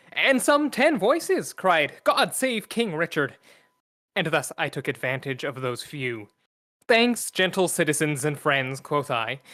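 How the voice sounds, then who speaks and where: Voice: medium pitch, fairly bright tone. A student actor playing a loyal, wily duke who serves as henchman, oratorical, in a Shakespearean radio play. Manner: oratorical, reporting.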